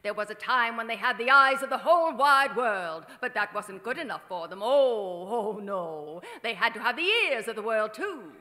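Vain aging movie queen, theatrical, declamatory, forceful bright voice, radio play, long stage reverb. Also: a little high, animated, moderate pace, hardly any pauses. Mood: scornful, bitter. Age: middle-aged. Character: imperious, vain, faded silent-film diva, older woman. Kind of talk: old-time radio drama acting. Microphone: stand microphone on stage.

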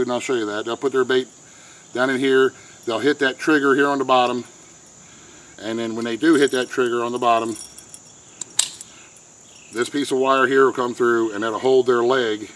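A man's voice: medium pitch, fairly bright tone, a little hiss or hum. A middle-aged man, explaining step by step in a plain, folksy way how to set a trap, recorded outdoors on a camera mic. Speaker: homesteader showing how to set traps. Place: outdoors by a pen and a wooded creek. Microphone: camera mic, handheld camera close by. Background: faint outdoor insects and crickets.

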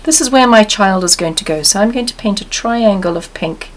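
Woman, painting instructor, calm step-by-step explanation, mid pitch; talking while she paints. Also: brisk. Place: studio table, overhead camera on canvas.

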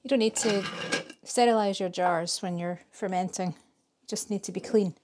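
A woman in her thirties from Scotland, casually explaining a recipe in her home kitchen with a chatty, relaxed manner and some fillers. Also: mid-range voice, moderate pace, background noise. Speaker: vlogger. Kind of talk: casual narration while cooking.

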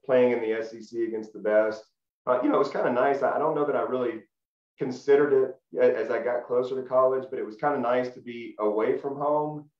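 A man, a guest telling his story in a relaxed way with many restarts over a video call. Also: medium pitch. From Middle Tennessee. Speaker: guest, former college football player. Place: small room at home, indoors. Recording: roomy, dull video call audio.

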